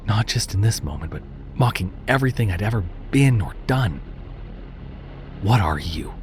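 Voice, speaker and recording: A man's voice: on the low side, lively intonation, brisk. A man reading a scripted horror story as a dramatic narrator. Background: background music.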